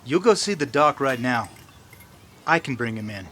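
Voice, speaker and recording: Man acting a bright-voiced, stubborn cowboy sidekick. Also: mid-range voice, animated, moderate pace, a little hiss or hum, close to an everyday voice. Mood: concerned. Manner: defensive, plain-spoken. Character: stubborn, easygoing horse-thieving cowboy sidekick. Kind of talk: old-time western radio drama acting.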